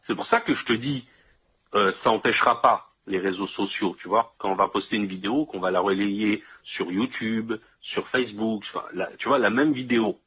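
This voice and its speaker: Low-voiced, husky man explaining casually. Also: normal speed, sharp tone, highs cut off. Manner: explanatory, often checks the listener follows. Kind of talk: casual conversation, explaining a plan.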